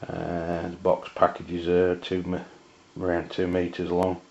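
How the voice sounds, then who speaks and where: A man with an English accent and a very low voice talks through a piece of kit as a casual reviewer. His delivery is flat, halting and matter-of-fact. The sound is dull and lo-fi. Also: creaky. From England. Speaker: amateur radio reviewer. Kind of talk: casual hands-on product review.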